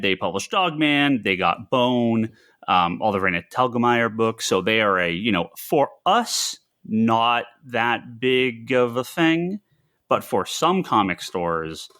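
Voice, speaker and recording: An American man co-hosting a casual discussion of industry news, often halting and repeating phrases. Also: on the low side, sharp tone, dry recording, clean studio sound. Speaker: podcast co-host.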